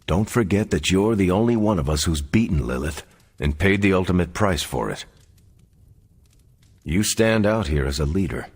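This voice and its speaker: An actor playing an earnest, upright soldier superhero in a video game, sincere and encouraging. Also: male voice, sits low, expressive, normal speed, breathy edge, heavily acted. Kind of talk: video game cutscene acting. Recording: faint music behind.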